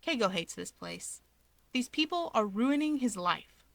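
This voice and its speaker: A woman reads a story aloud with a bright, forward voice. Her narration is expressive and conversational with a wry edge, recorded very dry with no room sound. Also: fairly high pitch, unhurried, gravelly, no room sound. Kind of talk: expressive narration of fan fiction.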